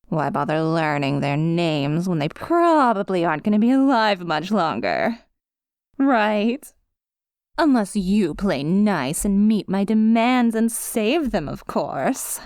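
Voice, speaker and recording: A woman's voice: medium pitch, darkish tone, no echo, studio quality. A woman playing a needy, taunting female supervillain obsessed with a hero, in a theatrical, coy ASMR roleplay monologue. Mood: taunting, gushing. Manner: theatrical, coy, swooping between pouty and menacing.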